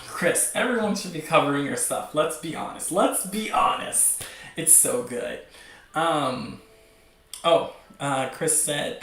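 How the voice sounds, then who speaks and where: A man hosting a casual livestream, talking in a gushing, excitable, rambling way peppered with "like". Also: a little high. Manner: gushing, excitable fan enthusiasm; rambling, heavy use of "like". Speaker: livestream host. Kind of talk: casual livestream chat about webcomics. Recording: echoey room, distant from mic.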